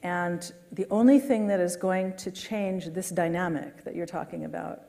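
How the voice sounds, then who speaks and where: A woman's voice: fairly low pitch, fairly even intonation, normal speed, creaky, mellow. A woman moderating a panel, conversational with many fillers.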